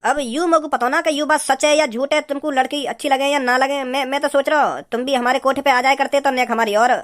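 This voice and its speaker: A man voicing a brazen, gossipy rustic brothel keeper in an amateur comedy cartoon, insistent and rambling, with a pitched-up voice. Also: fairly even intonation, brisk, a bit bright.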